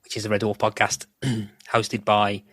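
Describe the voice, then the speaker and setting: A man's voice: fairly low pitch, flat delivery, a little slow, fairly bright tone, no echo, studio quality. A man chatting casually as a podcast guest, rambling and swearing freely.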